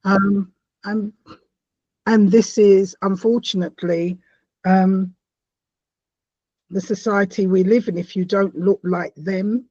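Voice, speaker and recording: Woman podcast host from South America, halting speech with restarts, husky voice, dry muffled sound. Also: medium pitch, dry recording. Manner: halting, repeats words, animated exclamations. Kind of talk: conversational interview.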